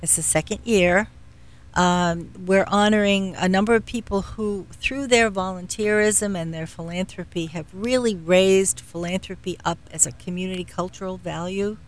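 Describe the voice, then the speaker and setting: A middle-aged woman speaking as an interview guest, explaining things in a measured way and pausing to search for words. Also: mid-range voice, steady tone. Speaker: guest, philanthropy executive. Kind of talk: explanatory radio interview talk.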